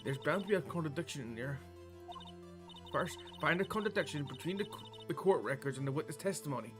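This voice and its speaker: A man doing a live let's play of a visual novel, reading dialogue aloud with casual, profane asides and excitable outbursts. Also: a little high, fast talker. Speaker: game streamer. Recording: game music behind the voice. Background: video game music.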